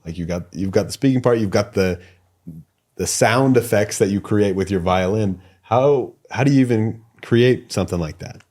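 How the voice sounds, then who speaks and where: Low-voiced podcast co-host man. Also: normal speed. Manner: relaxed, asks interview questions. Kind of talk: casual podcast chat.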